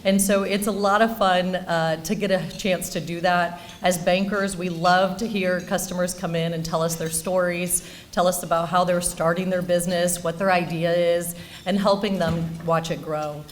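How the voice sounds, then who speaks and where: A woman's voice: lowish voice, little pitch movement, normal speed, slight background noise. A woman banker presenting an award in an informal, enthusiastic, anecdotal speech at a ceremony.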